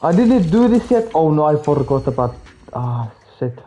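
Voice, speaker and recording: A man's voice: a little high, sing-song, normal speed, warm tone. A man giving casual live commentary as he plays a game, mostly talking to himself in short bursts. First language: Finnish. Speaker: game streamer. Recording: game music behind. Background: video game music.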